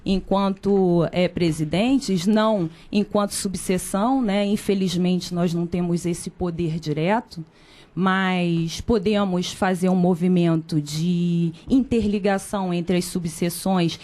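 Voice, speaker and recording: A woman with a Brazilian accent, a lawyer speaking in a measured, formal way in a radio interview. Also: lowish voice, steady tone, mellow. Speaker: lawyer and candidate.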